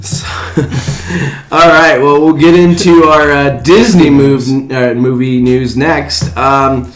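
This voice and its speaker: A man co-hosting a casual movie news podcast, speaking haltingly and often trailing off. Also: medium pitch, steady tone. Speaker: podcast co-host. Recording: echoey room with music bed.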